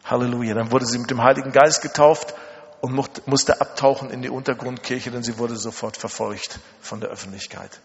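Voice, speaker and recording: A man's voice: medium pitch, fairly even intonation, fairly quick. A middle-aged man from Germany preaching a sermon, telling personal stories emphatically with dramatic repetition and rhetorical questions. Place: large reverberant hall. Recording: muffled, long hall reverb.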